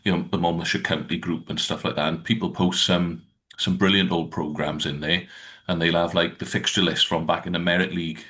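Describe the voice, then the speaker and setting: A man's voice: deep voice, little pitch movement, a little fast, pushed and bright. A man co-hosting a podcast in casual rugby chat, rambling and hesitant. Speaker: podcast co-host.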